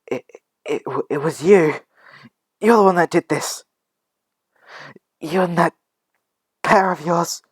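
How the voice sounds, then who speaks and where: A man's voice: on the high side, takes it slowly, pauses often, husky, no echo, studio quality, voice pushed up. A man voicing an injured, frightened woman caregiver in a told story, stammering and crying out in pain. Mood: accusing, shaken. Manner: stammering, breathless outcries. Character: injured female caregiver, terrified, pained, accusing a child.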